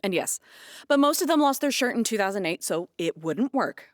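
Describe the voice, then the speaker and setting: An actress playing a middle-aged aunt at a radio station in a comedy audio drama, blunt, with a dry wit. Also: female voice, mid-range voice, sing-song, moderate pace, no echo, close to an everyday voice. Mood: wry.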